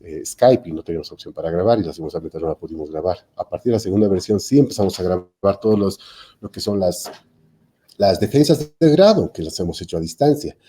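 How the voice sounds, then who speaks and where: Dark-voiced man, hesitant lecturer. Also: low-pitched. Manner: hesitant, frequent "eh" fillers and self-corrections. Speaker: technical institute lecturer. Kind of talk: panel talk explaining online teaching.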